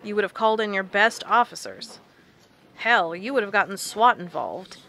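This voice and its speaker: Resolute researcher played by a woman, mid-pitched, sharp-edged, fed up and blunt, audio drama. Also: animated, normal speed. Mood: firm, defiant. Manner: blunt, firm.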